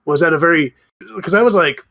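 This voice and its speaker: A man's voice: fairly high pitch, fast talker, pushed and bright. A man in his thirties chatting casually as a podcast co-host. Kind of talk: casual chat.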